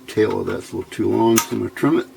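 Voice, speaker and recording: Male hobbyist instructor, low and fry-heavy, unscripted and informal, thinking aloud over hands-on steps. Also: very fast, noisy background. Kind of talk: informal hands-on tutorial narration.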